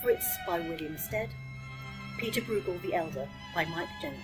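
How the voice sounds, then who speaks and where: A woman's voice: on the low side, expressive, normal speed. A woman reading scripted credits as an announcer. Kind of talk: scripted narration. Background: violin music.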